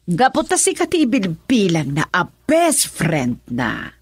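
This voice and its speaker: A woman's voice: mid-range voice, huge pitch swings, unhurried, slightly rough, exaggerated voice. A woman with an Ilocano Filipino accent playing an insistent, meddling middle-aged mother in a theatrical, coaxing radio drama style.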